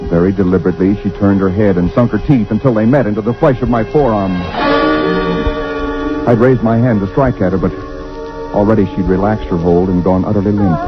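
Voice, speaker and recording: A frightened young husband, played by a man, recounting a night of horror in an old radio play. His voice sits very low and tight with dread, catching on repeated words. The broadcast sounds dull and old, with loud music underneath. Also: fairly even intonation, a little fast, close to an everyday voice. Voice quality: deep, tense voice with nervous stammers. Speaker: narrator and lead character. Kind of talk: 1940s radio drama acting.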